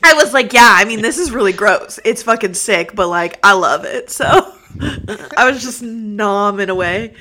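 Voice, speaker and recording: A woman's voice: mid-range voice, lively intonation, moderate pace, bright, forceful tone. A woman co-hosting a casual podcast chat, telling stories animatedly and acting out quoted dialogue. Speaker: podcast co-host.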